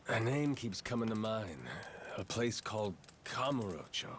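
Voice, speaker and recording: An actor playing a gruff, easygoing Yakuza man with amnesia in a video game, speaking in rough, teasing, folksy slang. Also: fairly low pitch, normal speed, slight background noise, natural voice. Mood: easygoing, wry. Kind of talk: video game cutscene acting. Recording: faint music behind the dialogue.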